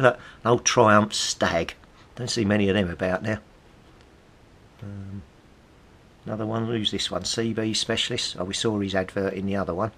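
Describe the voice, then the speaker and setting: Low, gravelly British man. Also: fairly bright tone, a little hiss or hum. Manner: chatty, musing aloud. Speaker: hobbyist presenter. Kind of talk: casual rambling nostalgic commentary.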